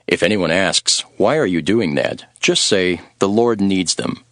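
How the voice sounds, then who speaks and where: A man playing a calm, authoritative religious teacher in a solemn, declarative way, in a dramatized scripture recording. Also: sits low, a little slow, no room sound, studio quality. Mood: calm, instructing. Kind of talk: dramatized Bible audio.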